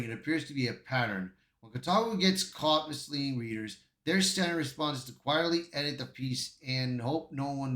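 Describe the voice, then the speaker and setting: A man's voice: medium pitch, a bit bright. A man giving online commentary, reading out an article with casual asides and stumbling over some words. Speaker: YouTube commentator. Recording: echoey untreated room.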